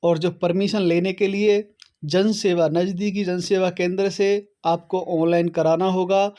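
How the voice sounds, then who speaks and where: A man with a high-pitched voice gives an instructional talk for an online audience. He lays out procedures one step at a time, addressing viewers directly and punctuating his points with small confirmations. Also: flat delivery, unhurried, mellow. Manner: step-by-step, repeatedly checking with "okay". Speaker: host of an online how-to channel. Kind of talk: explanatory tutorial talk to viewers.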